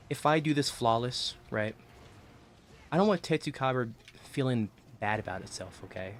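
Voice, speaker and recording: Man chatting loosely while gaming, slightly rough throat. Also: medium pitch, a little hiss or hum. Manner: joking, rambling with restarts. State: throat getting rough from oncoming illness. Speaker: streamer. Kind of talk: casual gaming livestream chat.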